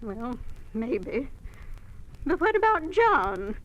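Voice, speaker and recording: An actress playing a practical, teasing older farm wife in an old-time radio drama, with a homely, gently scolding manner. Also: female voice, on the high side, big pitch swings, drawn-out words, muffled recording, full character voice. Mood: anxious, defensive. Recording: old radio recording, faint noise.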